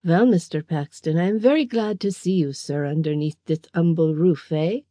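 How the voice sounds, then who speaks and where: A woman's voice: on the low side, normal speed, warm tone, no room sound, lightly acted. A woman doing a sneering, sinister foreign villain. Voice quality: sneering, insinuating.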